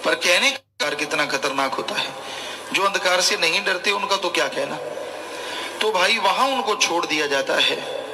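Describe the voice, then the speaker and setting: A man's voice: sits high, normal speed, sharp tone. A man preaching a colloquial religious sermon in an admonishing, conversational way. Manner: admonishing, conversational, acts out short imagined dialogues. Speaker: spiritual preacher. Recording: echoey hall with long reverb.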